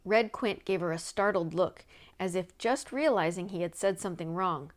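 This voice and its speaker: A woman's voice: fairly low pitch, normal speed. A woman narrating a children's mystery novel as a scripted read-aloud. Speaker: audiobook reader.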